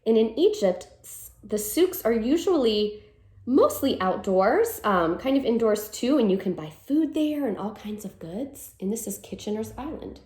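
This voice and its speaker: A woman's voice: on the high side, sing-song, measured pace, warm tone, roomy sound. A woman teacher reading a picture book aloud to children, with sing-song verses and simple explanations.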